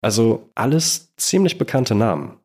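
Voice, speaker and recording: A man's voice: mid-range voice, big pitch swings, a little slow, darkish tone, slightly rough. A man co-hosts a true-crime podcast, narrating from a script in a conversational storytelling manner. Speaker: podcast co-host.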